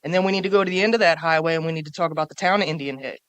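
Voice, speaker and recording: A woman speaking on a panel before a live audience in a small café, forceful and emphatic, stacking up points and repeating them for weight. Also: low-pitched, rapid-fire, clear, resonant tone, no room sound. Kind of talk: panel talk.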